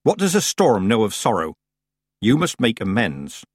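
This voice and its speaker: A man voicing a stern, commanding old female country witch who speaks calmly and deliberately in a storybook reading. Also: lowish voice, breathy edge, no room sound, clean studio sound, full character voice. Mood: brisk, stern. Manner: calm, deliberate, rustic grammar.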